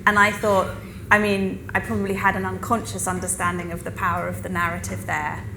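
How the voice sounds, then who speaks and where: A woman's voice: mid-range voice, flat delivery, a little fast, vocal fry. A woman speaking reflectively as a panelist at a live discussion. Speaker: panelist, author. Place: festival venue. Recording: roomy live panel recording with noise.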